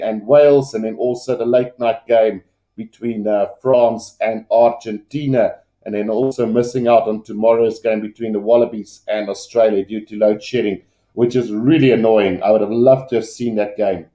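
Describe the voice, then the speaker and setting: A man's voice: lowish voice, steady tone, normal speed, warm tone, roomy sound. A man with a South African Afrikaans accent, a native Afrikaans speaker, giving casual, unscripted live rugby commentary and chatting with viewers in a hesitant, conversational way. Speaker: livestream sports commentator and host.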